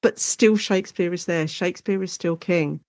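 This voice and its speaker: A woman guest academic explaining her subject in a conversational interview, chatty and animated, thinking aloud as she goes. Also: on the low side, normal speed, dry recording.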